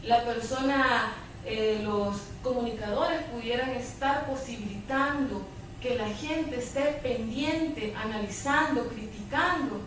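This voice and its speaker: A woman's voice: a little high, takes it slowly. A woman, commenting in a public discussion and often checking for agreement with tag questions. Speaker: panel participant in a public debate. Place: indoor public debate, roomy, picked up from afar. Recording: echoey room, distant mic, faint noise.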